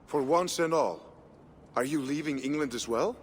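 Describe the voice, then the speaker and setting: A man's voice: on the high side, moderate pace, slight background noise, close to an everyday voice. An actor playing a Viking king in a video game, hearty yet grave about duty, speaking in a stately, declarative way. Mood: concerned, commanding. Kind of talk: dramatic video game acting.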